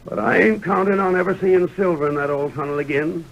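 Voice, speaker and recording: An actor playing a skeptical old American prospector with a gravelly, rustic Western way of talking, in 1930s radio drama style. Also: male voice, high voice, fairly quick, dull audio. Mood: skeptical, grumbling. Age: older. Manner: rustic drawling Western speech. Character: skeptical old male prospector, folksy and grumbling. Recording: noisy 1930s radio recording.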